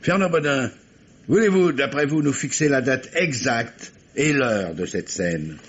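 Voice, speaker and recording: An actor playing a wily, insistent police commissioner in an old French radio mystery, phrasing hesitantly and repetitively, then with command. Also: male voice, mid-range voice, expressive, unhurried, lightly acted. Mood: methodical probing. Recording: muffled vintage radio recording, faint noise.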